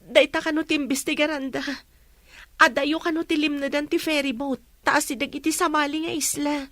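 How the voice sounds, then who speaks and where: A woman's voice: high-pitched, fairly even intonation, moderate pace, a bit bright, no room sound. A young woman with an Ilocano accent playing an earnest village woman searching for news. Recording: clear crowd noise behind.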